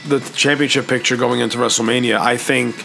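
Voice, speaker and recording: A man with a raspy, hoarse voice, hosting a casual wrestling predictions talk, enthusiastic and profane, rambling with restarts. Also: mid-range voice, fairly even intonation, brisk, pushed and bright, soft background music. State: hoarse, clearing throat. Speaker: wrestling podcast host.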